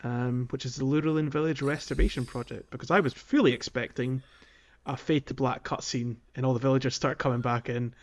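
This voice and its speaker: A man's voice: medium pitch, fairly even intonation, fairly quick. A man co-hosting a gaming podcast in a casual, conversational way. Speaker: podcast co-host. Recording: dull, lo-fi voice recording.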